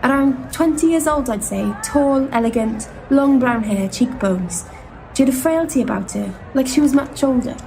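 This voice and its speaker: A woman's voice: high-pitched, moderate pace, gravelly, a little mellow, slight background noise, low-quality recording. An actress playing a forthright, caring female assistant to paranormal investigators in a comedic audio drama, speaking expressively. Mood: wistfully narrating.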